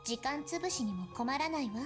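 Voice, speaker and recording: A woman's voice: sits high, animated, very fast. A woman playing a haughty, lonely young rich girl in a Japanese visual novel, with music behind her. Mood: earnest. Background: background music.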